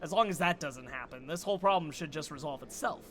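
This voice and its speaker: A man's voice: on the high side, fast, fairly bright tone, lightly acted. A man playing a glib, knowing character in a comedy audio drama, with a dry, sly delivery. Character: glib, knowing man explaining tangled realities.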